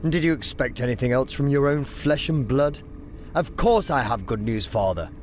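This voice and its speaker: A man's voice: medium pitch, expressive, normal speed, slight background noise, natural voice. A man playing a boastful warrior son in a sci-fi audio drama, speaking in a grand, dramatic manner.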